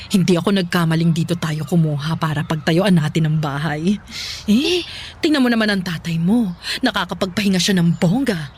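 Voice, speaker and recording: A woman's voice: fairly low pitch, slight background noise, lightly acted. Lady doing a proud chatty filipino mom for radio drama, gushy, lots of exclaiming. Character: chatty, proud middle-aged mother, sociable and status-conscious. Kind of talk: Tagalog radio drama acting.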